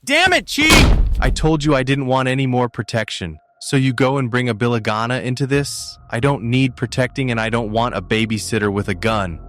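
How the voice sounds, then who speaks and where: A man's voice: medium pitch, expressive, normal speed, hardly any pauses, noisy background, close to an everyday voice. An actor playing a proud, prickly male politician with a curt, sarcastic manner. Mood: angry, sarcastic.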